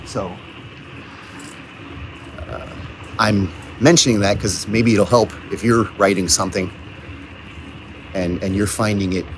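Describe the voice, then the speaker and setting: Deep voiced guy doing a casual video diary, kinda halting, thinking out loud, noisy background. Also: steady tone. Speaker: audio drama writer and producer. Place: coffee shops and outdoors, on location. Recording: noisy on-location recording with ambient sound.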